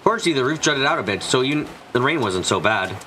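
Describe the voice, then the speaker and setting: A man's voice: mid-range voice, animated, fast, background noise. A man reading a visual novel aloud as a live fan dub on a stream. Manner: switches between character voices while reading. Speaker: let's play streamer.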